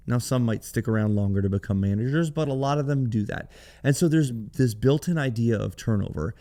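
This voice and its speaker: A man hosts his podcast alone, his voice hoarse, raspy and gravelly after a night of cheering. His tone is low and mellow, and he explains things plainly in a conversational way. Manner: conversational, explanatory, with some restarts. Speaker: podcast host. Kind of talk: solo business podcast talk.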